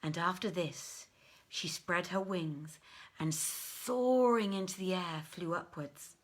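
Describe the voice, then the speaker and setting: A woman reading a literary tale as a dramatic storyteller, with measured, deliberate phrasing and theatrical pauses. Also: lowish voice. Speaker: storyteller, audiobook reader.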